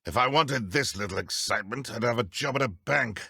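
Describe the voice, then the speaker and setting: An actor playing an aggressive, scornful dark wizard duellist in video game combat, with a raspy, forceful voice. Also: male voice, medium pitch, fairly quick, no room sound, studio quality, heavily acted. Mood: wary, irritated.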